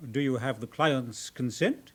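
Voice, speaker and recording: An actor playing a prim, guarded safe-deposit manager in a radio drama, speaking in a formal, precise, officious way. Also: male voice, mid-range voice, measured pace, fairly bright tone, close to an everyday voice. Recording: roomy, echoing sound.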